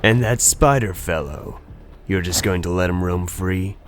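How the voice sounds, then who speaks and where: A man voicing a smug, menacing crime boss in an animated series, with a needling, deliberate delivery. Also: low-pitched, slight background noise. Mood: taunting.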